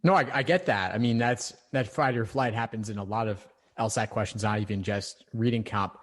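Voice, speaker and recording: A man's voice: fairly low pitch, fairly quick. A man coaching a student over a video call, speaking in a reassuring, encouraging way. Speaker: LSAT tutor and podcast host.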